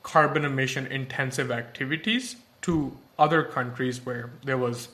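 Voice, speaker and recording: A man's voice: mid-range voice, steady tone, normal speed, bright, forceful tone. A young man from Pakistan, a tech company founder, answering podcast interview questions thoughtfully with many fillers and restarts.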